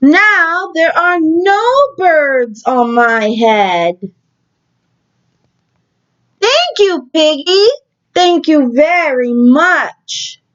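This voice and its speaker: Storybook role: alarmed, exasperated elephant played by a woman, very slow, fretful delivery, dull lo-fi sound. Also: high voice, lively intonation, fairly bright tone, full character voice. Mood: relieved. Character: exasperated, alarmed elephant with birds nesting on his head. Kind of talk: children's picture book read-aloud. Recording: dull lo-fi recording.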